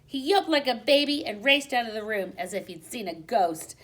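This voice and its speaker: A woman reading a children's storybook aloud in an expressive, dramatic manner, dropping to a hush for suspense. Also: a little high, normal speed. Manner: dramatic, with hushed suspenseful drops.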